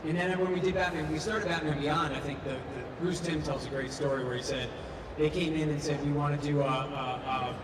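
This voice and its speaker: A man's voice: a little high, fairly even intonation, a little fast. A man talking emphatically as a convention panelist, repeating phrases for stress. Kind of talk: animated convention panel talk. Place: large convention hall panel. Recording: echoey hall, distant, noisy PA sound.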